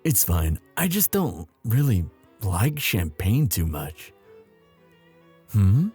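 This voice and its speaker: A man playing a young prince, a tender and anxious lover, in an intimate, halting romantic audio roleplay. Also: lowish voice, big pitch swings, a little mellow, slightly rough, soft background music, exaggerated voice. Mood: tender, teasing.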